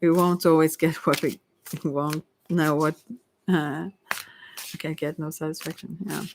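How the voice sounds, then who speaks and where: A tarot reader who speaks English as a second language, rambling through an unscripted ASMR reading in halting phrases that often trail off. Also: medium pitch, level tone, vocal fry, a little hiss or hum, poor audio. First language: not English.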